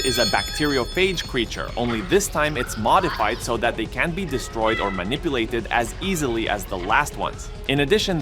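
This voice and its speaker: Man as friendly cartoon gadget guy, lively intonation, slangy, upbeat, music underneath. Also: mid-range voice, close to an everyday voice. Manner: chummy, slangy, showy. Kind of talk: comic dub voice acting.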